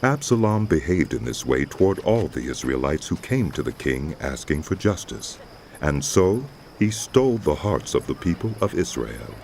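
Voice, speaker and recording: A man reading scripted narration for a dramatized scripture recording, with a deep, gravelly voice. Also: big pitch swings, moderate pace, darkish tone, slight background noise.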